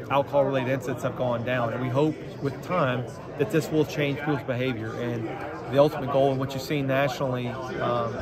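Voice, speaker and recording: A man gives measured, businesslike answers to a ring of reporters. His voice sits at a middling pitch. The chatter of a busy ballroom crowd runs steadily behind him. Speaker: athletic director. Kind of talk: press scrum answers. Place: large carpeted hotel ballroom, indoors. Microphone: handheld phone camera up close.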